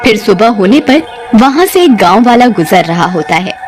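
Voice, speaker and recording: A woman's voice: medium pitch, very animated, normal speed, over music. A woman reading scripted narration for a cartoon ghost story.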